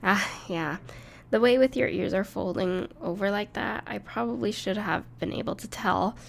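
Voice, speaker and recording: Woman acting a gentle, creaky-voiced cuddly dragon girl. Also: medium pitch, moderate pace, slight background noise, lightly acted. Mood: caring. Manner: tender, reassuring, playful, slightly hesitant. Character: gentle, cuddly, bashful female dragon in human form, plushie lover. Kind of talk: soft-spoken intimate audio roleplay to the listener.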